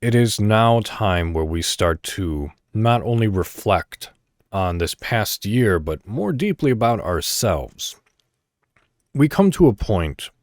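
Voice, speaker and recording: A man speaks as the host of a solo podcast in a fairly low voice. He addresses listeners casually, moving through deliberate, segmented phrases. The sound is very dry, with the microphone close to him. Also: sing-song, no room sound.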